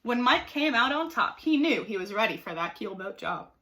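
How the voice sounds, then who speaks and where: A woman reading a children's story aloud like a teacher, with expressive, dramatic emphasis. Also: fairly high pitch, normal speed, slight background noise. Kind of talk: animated storybook narration for children.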